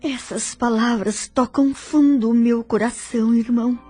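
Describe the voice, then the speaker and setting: A woman with a Brazilian accent playing a humble, awed spirit learner in an audio drama, with a soft, breathy voice. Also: fairly high pitch, fairly even intonation, a little slow, faint music behind. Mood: awed, grateful.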